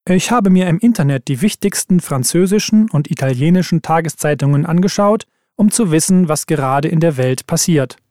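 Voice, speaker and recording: A man reading scripted exam texts aloud in an even, careful way. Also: on the high side, moderate pace, few pauses, no room sound, clean studio sound. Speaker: exam recording reader.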